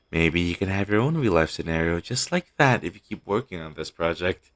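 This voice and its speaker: A man playing a caring, teasing talent manager, coaxing a troubled actor in a one-sided audio roleplay with a low-quality sound. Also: animated, moderate pace. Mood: teasing, probing. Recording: low-quality amateur recording.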